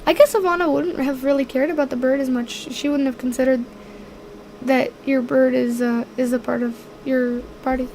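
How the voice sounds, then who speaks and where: High-voiced woman running a tabletop game. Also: fairly even intonation, normal speed, darkish tone, a little hiss or hum, low-quality recording. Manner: hesitant, searching for words, laughs easily. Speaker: game master. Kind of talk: improvised tabletop game narration.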